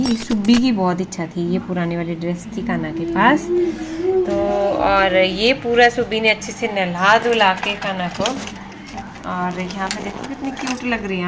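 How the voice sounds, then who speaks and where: A woman's voice: medium pitch, moderate pace. A woman in her thirties from Himachal Pradesh, chatting casually in a home vlog as a mother talking about her household and her kids. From Himachal Pradesh, India. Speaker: vlogger, mother. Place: home indoors. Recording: noisy home recording.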